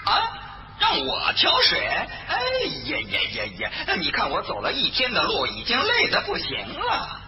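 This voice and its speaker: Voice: sits high, wildly swooping pitch, vocal fry, full character voice. Grumbling monk, swooping wildly. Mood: indignant. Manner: drawn-out sighs and exclamations, wheedling. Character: weary, lazy, grumbling adult male monk. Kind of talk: children's audio story acting. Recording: dull roomy recording with music behind.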